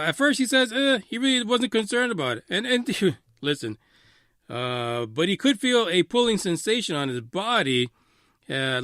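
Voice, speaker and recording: A man's voice: sits high, dry recording, clean studio sound. A man hosting a casual live talk radio show, commenting on a story in an emphatic, often sweary way. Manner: animated, emphatic, frequently swearing.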